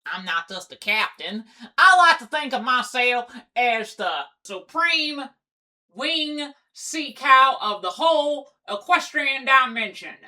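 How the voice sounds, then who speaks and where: A woman's voice: high voice, expressive, slow, pushed and bright, full character voice. A woman voicing a bossy, teasing pony sea captain with pirate-style speech in a cartoon comic dub. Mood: coaxing, assured.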